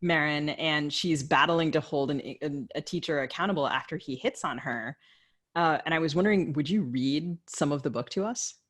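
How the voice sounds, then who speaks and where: A woman's voice: low voice, normal speed, fairly bright tone. A woman with an American accent co-hosting a podcast, asking questions in a thoughtful, conversational way. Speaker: podcast co-host. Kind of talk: casual interview questions.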